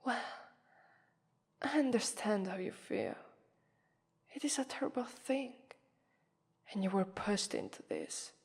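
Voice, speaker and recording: A woman with an Italian accent voicing a haughty, taunting vampire mistress in an ASMR roleplay, breathy, condescending and often whispering. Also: medium pitch, huge pitch swings, slow, pauses often, a little mellow, heavily acted. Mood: mocking, taunting. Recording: long reverberant echo.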